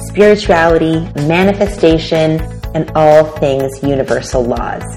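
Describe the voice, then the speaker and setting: A woman's voice: deep voice, animated, a little slow, darkish tone, soft background music. A woman, hosting her own podcast and talking earnestly while losing her voice, with fillers and restarts. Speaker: podcast host. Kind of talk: solo podcast monologue.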